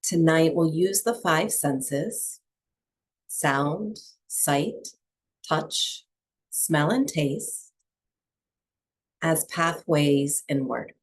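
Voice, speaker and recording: Female breathwork guide, low register, very slow pace, soft soothing instruction for a small evening class. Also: lively intonation, low-quality recording. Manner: soft, unhurried, soothing delivery with long pauses. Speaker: yoga and breathwork teacher.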